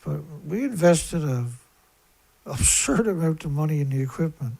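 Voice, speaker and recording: A man with a squeaky voice hosting a live stream in a rambling, blunt, aggrieved and emphatic monologue that often repeats itself. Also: lively intonation, normal speed, creaky, warm tone. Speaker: live stream host, environmental activist.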